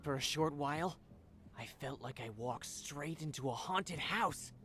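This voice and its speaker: An actor playing an enthusiastic, dramatic young male student in a video game, excitable in manner. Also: a little high, unhurried, a little hiss or hum. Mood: shaken, dramatic.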